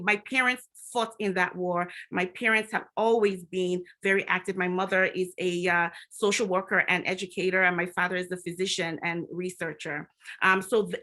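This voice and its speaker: A woman's voice: mid-range voice, bright, forceful tone. A middle-aged woman from Nigeria, a physician speaking on a conference panel, talking reflectively with frequent restarts and fillers.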